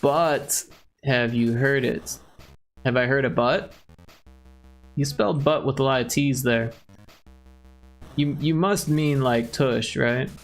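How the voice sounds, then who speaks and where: A man's voice: mid-range voice, takes it slowly, long pauses, faint music behind. A man from Chicago with a Chicago accent, hosting a livestream and chatting casually with viewers in a joking way. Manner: joking, chuckling, chatty with chat. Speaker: livestream host.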